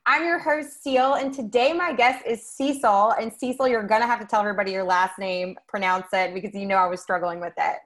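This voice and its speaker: A woman's voice: medium pitch, fairly quick, hardly any pauses, a bit bright. An upbeat woman hosts a conversational podcast. She introduces her guest with enthusiasm and asks easygoing follow-up questions. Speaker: podcast host. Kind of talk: casual interview hosting.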